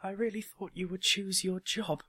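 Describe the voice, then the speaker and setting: A woman's voice: medium pitch, steady tone, moderate pace, sharp tone, breathy edge, pitched above the performer's own voice. A man voicing an emotional, indignant young girl in a story. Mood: grateful. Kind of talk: audiobook character dialogue.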